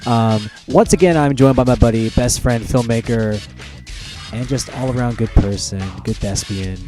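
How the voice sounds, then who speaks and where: A man's voice: medium pitch, moderate pace. A man hosting a podcast in casual, informal banter, as if chatting with a buddy. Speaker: podcast host. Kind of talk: casual chat. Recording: roomy echo, faint music.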